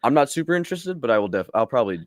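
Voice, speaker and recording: A man's voice: medium pitch, big pitch swings, very fast, dry recording, studio quality. A man chatting casually and jokily as a panelist on a podcast. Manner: informal, jokey. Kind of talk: casual chat.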